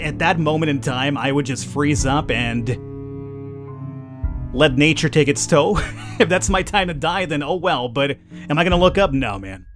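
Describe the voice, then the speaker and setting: A man hosting a let's play, reading game narration dramatically and adding informal commentary. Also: fairly high pitch. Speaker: gaming YouTuber, let's play host. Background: game music.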